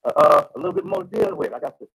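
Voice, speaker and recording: An American man of about forty chatting casually in a colloquial, halting, animated way. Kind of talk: casual chat.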